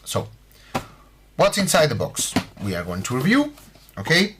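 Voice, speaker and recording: A man from Spain, speaking English with a Spanish accent, hosts a model-building tutorial in a halting way with many fillers. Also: on the high side, wildly swooping pitch, noisy background. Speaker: scale model hobby host. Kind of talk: unboxing tutorial.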